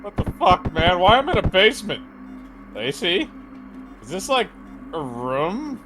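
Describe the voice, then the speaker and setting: A man acting a bewildered, indignant patient in a horror visual novel. His highish voice swings widely as he swears and protests in disbelief, with faint music behind. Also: very animated, a little slow. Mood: indignant, confused. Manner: incredulous, sweary, exclaiming. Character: bewildered, indignant male patient held captive.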